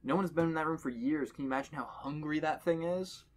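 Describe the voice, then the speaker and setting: A man's voice: a little high, fast. A man on a podcast reading a horror story aloud to friends and joking between passages. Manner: reads dialogue aloud, jokes between passages. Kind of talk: casual reading with banter.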